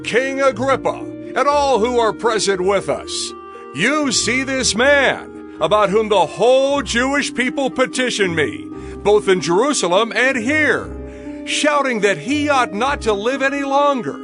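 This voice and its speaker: An actor playing a formal, commanding Roman governor in a dramatized audio Bible, speaking in an oratorical manner. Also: male voice, extremely high pitch, lively intonation, measured pace, music in the background. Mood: proclaiming, emphatic.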